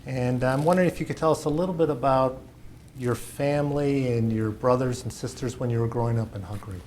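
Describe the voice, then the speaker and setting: A man conducts an oral-history interview, posing measured, courteous questions. His voice sits mid-range, and a faint hiss runs under the recording. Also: medium pitch, a little mellow.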